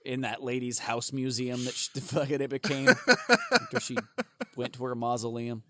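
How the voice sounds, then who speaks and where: A man's voice: mid-range voice, expressive, dry recording, clean studio sound. A man co-hosting a comedy podcast, rambling and swearing, breaking into laughs between riffs. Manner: profane, rambling, quick to laugh. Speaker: podcast co-host. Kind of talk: loose comedy podcast banter.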